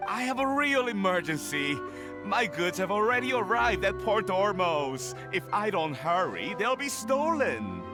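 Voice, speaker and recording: A man playing a flustered merchant in an animated video game, pleading and agitated. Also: high voice, measured pace, over music.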